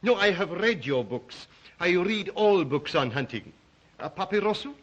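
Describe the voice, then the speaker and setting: An actor playing a suave, obsessive Russian count and hunter, speaking with a Russian accent in a deliberate, theatrical, old melodrama manner. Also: male voice, sits high, expressive, muffled recording. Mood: suave, eager. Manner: theatrical, deliberate, brooding, courtly. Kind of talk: 1930s film-era melodramatic acting.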